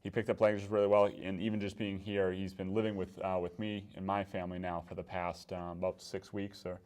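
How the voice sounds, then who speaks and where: A man with an American accent and a low-pitched voice talks as a guest in an interview. He sounds conversational, like a veteran explaining his experience. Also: level tone, fairly quick, a little mellow.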